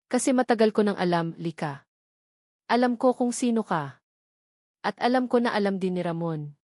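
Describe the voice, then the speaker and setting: Woman performing female coworker, careful, pitying, grave warnings, audio drama, mid pitch, very dry recording. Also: lively intonation, moderate pace, a little mellow, dry recording, lightly acted. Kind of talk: Tagalog audio drama dialogue.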